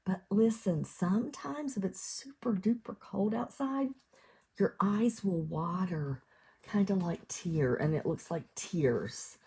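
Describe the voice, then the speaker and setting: An American woman reading a storybook aloud to young children as a teacher would, in an expressive sing-song way, asking them questions. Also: medium pitch, slow pace, breathy edge. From United States, lived in West Virginia.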